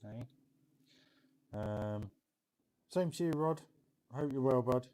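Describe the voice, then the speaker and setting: A man's voice: medium pitch, takes it slowly, dark tone, clean tone. A British man hosting a casual game live stream, chatting in a chummy way and calling viewers mate and bud. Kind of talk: casual live stream chat over a theme park building game.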